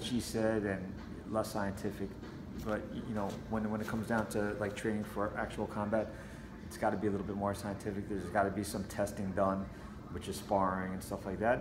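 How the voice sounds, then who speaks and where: A man's voice: on the low side, noisy background. A man speaking casually as a martial arts trainer in an interview, explaining conversationally with plenty of "you know". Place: martial arts gym with mats, indoors. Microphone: camera a few feet away. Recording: echoey room, faint music behind.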